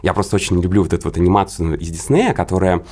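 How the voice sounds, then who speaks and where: Low, creaky-voiced man talking fast. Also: expressive, darkish tone. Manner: rambling, hedging explanations. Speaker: guest, creative partner in an illustration and games project. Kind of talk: casual conversational interview.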